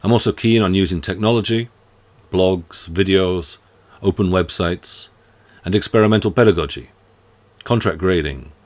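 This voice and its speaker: A middle-aged man, a professor giving a scripted welcome to his students. His voice sits very low, and he speaks in a measured, earnest, friendly way. He was recorded at his desk in a book-lined home study. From United Kingdom. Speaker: university professor. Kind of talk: scripted self-introduction to students.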